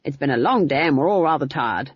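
A woman's voice: sits low, big pitch swings, fairly quick, breathy edge, no room sound, lo-fi sound, exaggerated voice. A woman voicing a stern, dismissive old witch with a blunt, folksy, no-nonsense manner in an audiobook. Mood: dismissive, brusque. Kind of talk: audiobook character voice.

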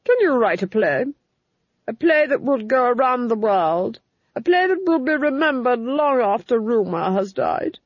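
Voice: mid-range voice, very animated, measured pace, dry recording, pitched above the performer's own voice. An audiobook reader voicing a domineering, cold duchess who speaks in a commanding, cutting way, in a dull lo-fi recording.

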